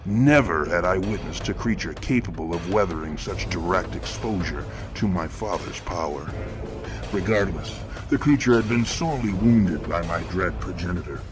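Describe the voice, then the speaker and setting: An actor playing a grim, eloquent killer who narrates horror fiction in a measured, florid, theatrical manner. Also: male voice, on the low side, creaky, exaggerated voice. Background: music.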